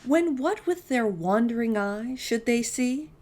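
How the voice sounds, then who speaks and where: A woman's voice: fairly high pitch, expressive, unhurried, a little mellow. A woman hosting a storytime for young children, reading aloud expressively and speaking directly to her listeners. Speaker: children's storytime host and reader.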